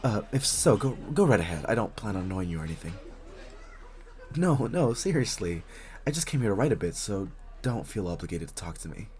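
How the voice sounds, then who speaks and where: Guy playing a shy friendly writer dude for an audio roleplay, lowish husky voice, stammers a bit, pitch goes up and down a lot. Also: very animated, a little fast, a little mellow. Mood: shy, nervous. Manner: hesitant, stammering, nervous little laughs. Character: very shy, friendly young writer in a coffee shop. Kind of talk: intimate one-sided roleplay acting, casual chat.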